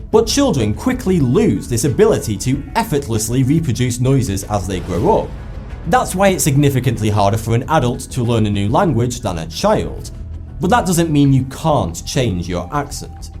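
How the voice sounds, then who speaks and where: A man with a West Lancashire accent giving scripted educational narration, with lively delivery and wry asides. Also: mid-range voice, normal speed, faint music behind. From England. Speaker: narrator of an explainer video.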